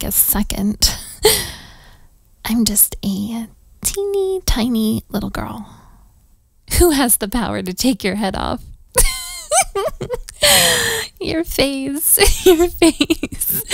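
Bratty princess, twenties, female actor, playful and flirty, very slow delivery, close dry sound, intimate audio roleplay. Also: fairly high pitch, vocal fry, dry recording, heavily acted. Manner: teasing, giggly, casual modern phrasing, dropping into whispers.

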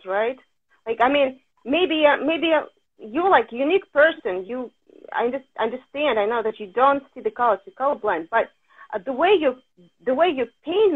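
A woman in her forties interviewing a guest with eager, hesitant phrasing. Her voice sits high, and it comes through thin and phone-like on a video call, where she wears headphones. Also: slightly rough. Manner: enthusiastic, hesitant phrasing, non-native grammar. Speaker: interviewer host. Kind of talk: casual interview chat. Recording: tinny phone-like call audio.

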